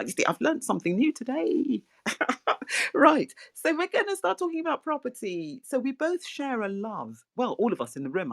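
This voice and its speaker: A woman hosting an interview in an online audio room, soft-voiced and eager. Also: mid-range voice, vocal fry. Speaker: host. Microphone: remote audio-chat connection.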